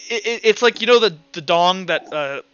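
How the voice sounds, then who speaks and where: A man's voice: sits high, expressive, moderate pace, fairly bright tone, smooth, lo-fi sound. A man narrating a tabletop roleplaying game as game master, in a casual, informal way. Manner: casual, informal, sound-effect-laden descriptions. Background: music.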